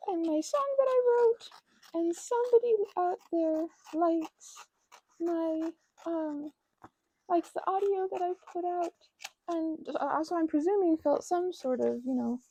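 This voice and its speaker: A woman rambling casually as she crafts, talking in a gushing, halting way and often interrupting herself. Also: very high-pitched, warm tone, poor audio. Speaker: hobby ASMR and roleplay audio creator.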